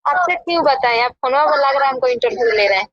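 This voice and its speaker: Bihari lady on a dull lo-fi phone call, really high voice, snappy defensive comebacks. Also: lively intonation, quick pace, no room sound. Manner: defensive, curt retorts. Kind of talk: casual phone conversation. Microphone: telephone call. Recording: muffled lo-fi recorded phone call.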